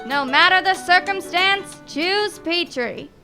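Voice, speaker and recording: Female narrator, high register, scripted vintage radio announcing, music bed behind. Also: takes it slowly.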